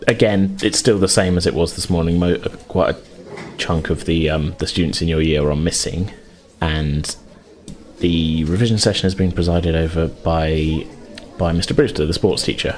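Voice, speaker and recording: A man narrating casually as game master, improvising in an informal, hedging way. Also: sits low.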